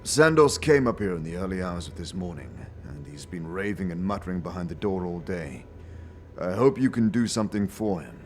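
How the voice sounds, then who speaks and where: An actor playing a polite, worried attendant in a fantasy audio drama. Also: male voice, low-pitched, lively intonation, fairly quick, soft background music.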